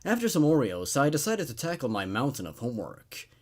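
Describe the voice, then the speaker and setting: A man presents horror stories as both narrator and laid-back commentator. His voice sits at an average pitch but moves up and down a great deal, giving the narration a dramatic, animated shape. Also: brisk. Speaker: host reading horror stories aloud and commenting.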